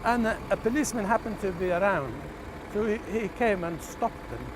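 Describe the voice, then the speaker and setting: Higher-voiced Algerian man over clear background noise. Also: lively intonation, moderate pace. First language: not English. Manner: hesitant, repeats words. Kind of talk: casual conversational recollection. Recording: clearly noisy documentary location sound.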